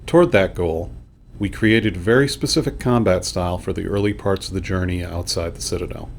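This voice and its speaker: Deep voiced guy reading game dev commentary, little bit of background noise. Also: fairly quick, gravelly. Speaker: game developer. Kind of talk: scripted developer commentary narration. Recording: faint noise behind voice.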